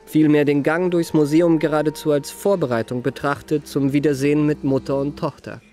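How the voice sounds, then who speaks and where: Man acting young gentleman charmer, slightly high voice, florid, elaborately polite, ardent, radio play. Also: fairly even intonation, fairly quick, soft background music, lightly acted. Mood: ardent, courteous. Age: young adult.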